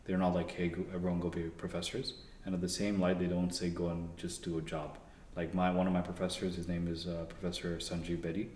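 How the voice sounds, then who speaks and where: Young male guest, deep monotone, loose chatty answers full of restarts; roomy echo with faint background hum. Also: brisk, hardly any pauses. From Canada. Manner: relaxed, rambling with frequent fillers and restarts. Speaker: guest, engineer. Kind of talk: casual interview conversation. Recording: echoey room.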